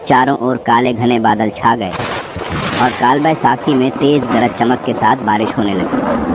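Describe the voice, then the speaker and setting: Male horror story narrator over background noise. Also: medium pitch.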